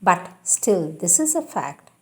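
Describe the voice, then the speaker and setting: A woman reading spiritual teachings aloud in a devotional style, deliberate and emphatic, repeating phrases for emphasis. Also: on the low side, sing-song. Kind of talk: devotional read-aloud with announced quotations.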